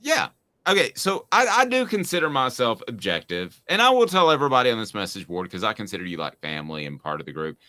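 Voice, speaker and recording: Animated bright-voiced man in his forties. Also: medium pitch, fast, no room sound, studio quality. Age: 40s. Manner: rambling, self-mocking storyteller. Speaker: sports radio host. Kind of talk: casual sports talk.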